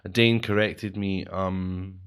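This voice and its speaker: Scottish man, podcast co-host, lowish voice, rambling, self-correcting. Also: steady tone, slow pace, a bit bright, clean tone. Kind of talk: casual chat.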